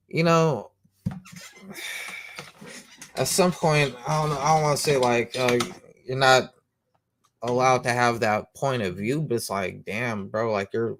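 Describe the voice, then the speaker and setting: A man hosting a comic book show, rambling casually in slang, who says he has a loud voice. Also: mid-range voice, steady tone, slow, pauses often, a little hiss or hum. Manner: slangy, rambling, lots of "you know what I'm saying". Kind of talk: casual monologue.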